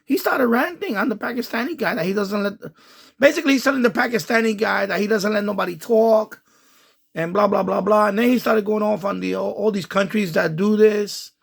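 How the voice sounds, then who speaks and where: Gravelly husky-voiced man rambling on. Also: high voice, brisk. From United States. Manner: rambling, repeats himself, frequent restarts. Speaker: ham radio channel host. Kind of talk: casual commentary to camera.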